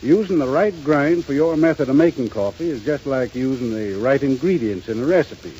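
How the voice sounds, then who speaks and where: An actor playing a courteous, folksy grocer in a 1930s radio commercial. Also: male voice, medium pitch, lively intonation, brisk, warm tone, dull audio, natural voice. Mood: genial, deferential. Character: courteous, folksy male small-town grocer. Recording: faintly hissy 1930s radio recording.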